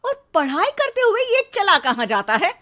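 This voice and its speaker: A woman voicing an exasperated, sarcastic Indian mother who nags loudly and dramatically in a children's cartoon story. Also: extremely high pitch, big pitch swings, moderate pace, pitched above the performer's own voice, full character voice. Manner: sarcastic, loud nagging with dramatic exclamations. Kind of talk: animated children's comedy story.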